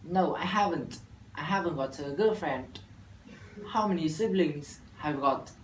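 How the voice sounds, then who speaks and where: A nineteen-year-old university student from Vietnam with a Vietnamese accent, reciting an English practice dialogue in a careful, halting way with restarts. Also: male voice, a little high. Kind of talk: scripted classroom dialogue practice. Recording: echoey room from far off, faint noise.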